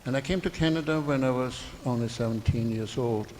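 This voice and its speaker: A man's voice: medium pitch, fairly quick, a little mellow. An older man with a German accent, speaking earnestly from the audience at a community meeting. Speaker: audience member. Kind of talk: personal remarks from the floor. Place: public meeting hall. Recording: reverberant hall with faint background noise.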